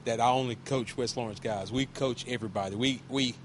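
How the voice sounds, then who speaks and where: A man, a wrestling coach, talking casually in an interview with a loud voice, emphatic and repetitive, with many restarts. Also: medium pitch, a little fast, slight background noise.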